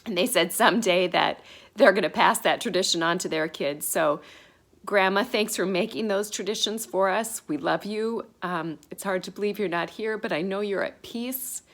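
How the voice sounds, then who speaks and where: An adult woman from Minnesota sharing memories in a personal tribute, soft-spoken with frequent ums. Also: medium pitch, normal speed. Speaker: family member sharing memories.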